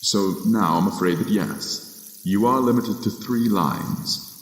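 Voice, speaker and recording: Radio play role: polite, droll agent of death, played by a young man, low-pitched and expressive, reverberant recording. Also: a little hiss or hum. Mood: wry, measured. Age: young adult. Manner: measured, polite, droll, trailing pauses. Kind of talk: contemporary radio drama acting.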